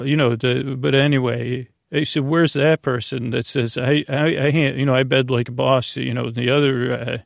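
A man with a gravelly, creaky voice talks in a dry, close space. He hosts a sleep podcast as a rambling monologue, halting, drifting off mid-thought and looping back to where he was. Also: medium pitch, no echo. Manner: meandering, halting, often trailing off and circling back. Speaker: podcast host. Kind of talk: rambling bedtime monologue to help listeners sleep.

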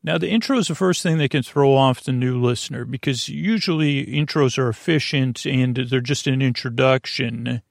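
A man hosting a bedtime podcast in a creaky voice, wandering haltingly through tangents and tripping over his words. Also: mid-range voice, no room sound, clean studio sound. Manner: meandering, halting, digressive, stumbling over words. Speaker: podcast host. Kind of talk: rambling bedtime podcast monologue.

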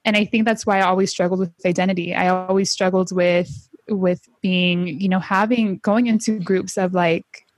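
A woman's voice: fairly low pitch, moderate pace, no room sound. A young woman from San Diego with a Honduran family, talking casually and often saying "like". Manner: chatty, heavy use of "like", animated quoting. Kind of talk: casual chat.

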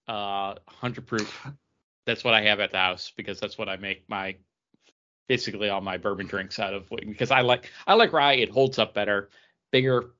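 Bright-voiced man chatting casually. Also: lowish voice, a little hiss or hum. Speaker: podcast co-host. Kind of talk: casual chat among friends. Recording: dull lo-fi voice recording.

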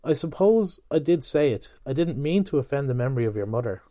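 A man's voice: medium pitch, lively intonation, brisk, warm tone, no room sound, studio quality, natural voice. A man voicing a brash, mocking young male medical student in a flippant, teasing manner.

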